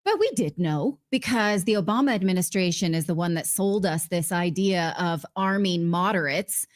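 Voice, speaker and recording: A woman's voice: on the low side, normal speed. A woman hosting a political interview, asking pointed, assertive questions. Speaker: interview host.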